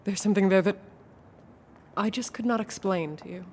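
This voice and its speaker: A woman playing a haunted, secretive and gravely urgent intellectual with formal, theatrical diction in a radio horror drama. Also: medium pitch, moderate pace, a little mellow, slight background noise, close to an everyday voice. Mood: secretive, weary.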